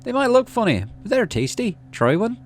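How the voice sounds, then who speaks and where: A man voicing a cheerful, gently wistful dad who encourages his child in a game. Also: very high-pitched, big pitch swings, normal speed, pitched above the performer's own voice.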